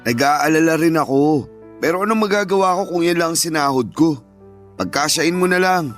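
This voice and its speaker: A man's voice: a little high, moderate pace, a bit bright, close to an everyday voice. A man playing an evasive, irritable working husband in a Filipino radio drama, dismissive and exasperated, with a Filipino accent. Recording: music playing behind the voice.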